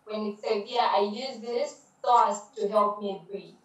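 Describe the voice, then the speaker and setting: A woman's voice: mid-range voice, fairly even intonation, unhurried. Woman from zambia, video call, far from mic, roomy and dull sound. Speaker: caller. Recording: distant echoey video call audio.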